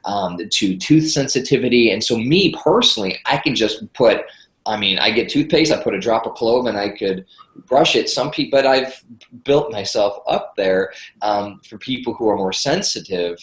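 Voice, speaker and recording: A man's voice: on the low side, expressive, moderate pace. Congested chatty man, roomy sound. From Michigan. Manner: chatty, enthusiastic, repeats words when starting sentences. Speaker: guest health expert. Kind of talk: podcast interview.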